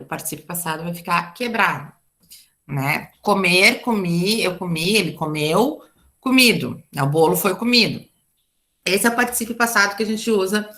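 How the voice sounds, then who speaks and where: A woman's voice: medium pitch, bright, forceful tone. A Brazilian woman teaching an English grammar class online, chatty and explaining with frequent asides and checks like "tá?". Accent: Brazilian Portuguese.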